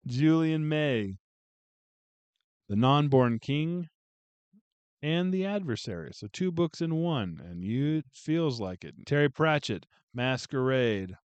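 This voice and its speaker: A man's voice: mid-range voice, lively intonation, slow pace, pauses often, a little mellow, smooth, no echo. A man casually going through a book haul on a video, chatting and joking with viewers as he reads out titles. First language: English. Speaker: booktube vlogger.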